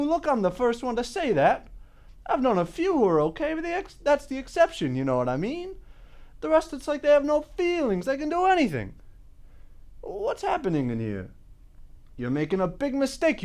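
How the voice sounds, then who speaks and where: A woman's voice: fairly high pitch, darkish tone. A woman performing a dramatic monologue as an angry bigoted juror, agitated and emphatic as she argues with the others. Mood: desperate, insistent. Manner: agitated, emphatic, stammering pleas.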